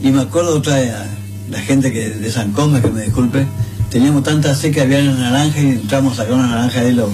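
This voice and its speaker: A man's voice: mid-range voice, fast, a little mellow, faint music behind, low-quality recording. A man hosting a live radio show, chatting casually in long, rambling anecdotes full of restarts. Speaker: radio host.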